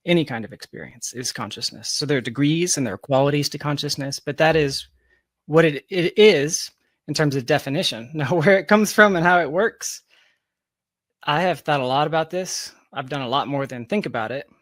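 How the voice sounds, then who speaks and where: A man's voice: on the high side, normal speed, a bit bright, dry recording. Man in his thirties, dry close sound. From Georgia. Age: 30s. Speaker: podcast guest, software developer. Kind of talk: conversational interview answers.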